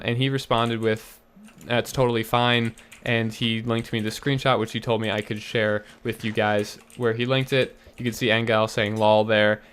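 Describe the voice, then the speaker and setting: A young man talks into a desk mic in casual gameplay commentary, his voice a little raspy and his pitch sitting in the middle. He explains himself earnestly, with fillers and restarts, and keyboard typing ticks faintly behind him. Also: fairly bright tone. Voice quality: slightly raspy. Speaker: gaming YouTuber.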